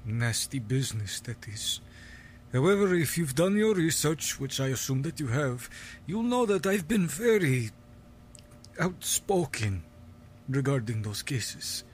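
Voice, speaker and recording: A man playing a guarded, learned university professor in an audio drama, speaking formally and with measured courtesy. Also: medium pitch, big pitch swings, a little hiss or hum. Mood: curious, guarded. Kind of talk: scripted audio drama acting.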